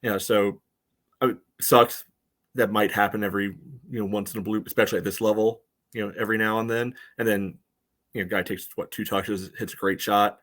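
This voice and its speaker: A man's voice: on the low side, steady tone, fairly quick, a bit bright. An American man co-hosting a soccer podcast, talking through a match in casual, conversational analysis.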